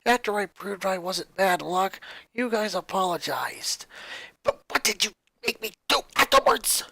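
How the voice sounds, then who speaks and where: A man performs a young boy who is a resentful, wounded big brother in a fan story. He speaks coldly and accusingly, leaning hard on his words, with the pitch pushed up and a dry sound. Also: lively intonation, measured pace, gravelly, sharp tone, no echo, heavily acted, low-quality recording. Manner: cold, accusing, emphatic.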